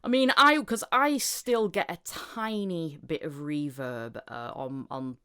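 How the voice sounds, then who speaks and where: A woman with a lowish voice hosting a casual podcast chat, animated and quick to laugh as she talks. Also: smooth. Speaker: podcast host and interviewer.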